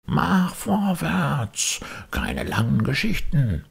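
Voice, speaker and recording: A man voicing a menacing storybook highway robber, hollow and growling, drawing out his threats. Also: huge pitch swings, vocal fry, husky, full character voice. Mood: cruel threatening. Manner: slow, threatening, drawn-out.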